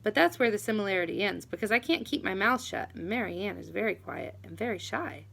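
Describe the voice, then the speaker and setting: A woman narrating a children's book expressively, with animated, dramatic emphasis on key words. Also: medium pitch, brisk, creaky. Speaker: audiobook reader.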